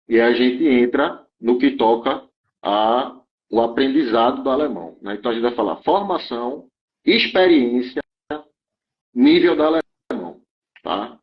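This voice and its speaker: A man's voice: mid-range voice, muffled recording. A man explaining immigration rules in an interview, often checking in with his listener and restarting phrases.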